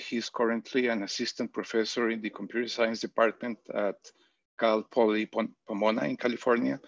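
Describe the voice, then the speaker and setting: A middle-aged man wearing a headset, formally introducing a speaker at a conference session in a measured way, with some fillers. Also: mid-range voice, creaky, dry recording. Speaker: session moderator. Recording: dry, dull video call audio.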